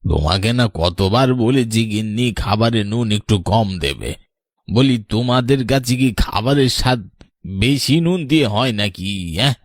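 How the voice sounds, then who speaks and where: A man's voice: fairly low pitch, expressive, unhurried, dry recording, clean studio sound. A man voicing a weary, reproachful middle-aged husband in a cartoon drama. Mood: scolding. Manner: scolding, weary, moralising. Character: reproachful older husband, grieving father-in-law. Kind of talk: animated horror story drama dialogue.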